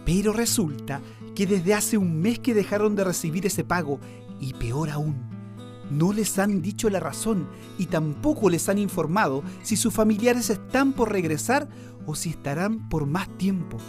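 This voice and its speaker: An actor with a Chilean accent playing an earnest, deferential newspaper reporter who explains things respectfully in a radio drama. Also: male voice, high-pitched, animated, a little slow, music in the background. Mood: concerned, explanatory. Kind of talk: Spanish-language radio drama acting.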